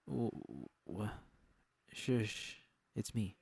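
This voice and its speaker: A man voicing a tender young woman who gently coaxes her sleepy lover in an audiobook story. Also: lowish voice, extremely slow, creaky, husky, dry recording, full character voice. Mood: gentle coaxing.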